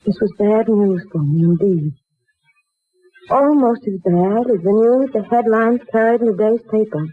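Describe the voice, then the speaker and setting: An actress playing an uneasy, earnest young working woman in an American 1940s radio drama, speaking hesitantly and deliberately with an American accent. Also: mid-range voice, husky, close to an everyday voice. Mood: grave, searching. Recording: muffled, tinny 1940s radio recording with music.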